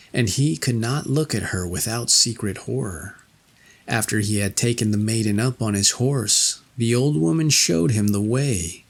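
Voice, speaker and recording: Gravelly low-voiced male fairy tale narrator. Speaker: storyteller, narrator.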